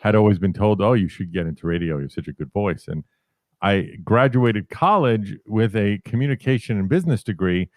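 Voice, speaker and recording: A man in his fifties from New York City with a deep voice, telling rambling, self-correcting stories about his career as a podcast guest. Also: expressive, fairly quick, dry recording. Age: 50s. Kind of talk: casual storytelling interview.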